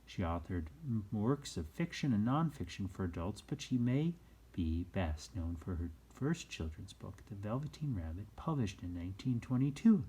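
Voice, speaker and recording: A storyteller reading aloud for children in a soft-edged, husky voice. The pitch moves in a lively way, dropping into hushed moments and rising for dramatic emphasis. The tone stays mellow. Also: normal speed, rough recording. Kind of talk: expressive read-aloud storytelling.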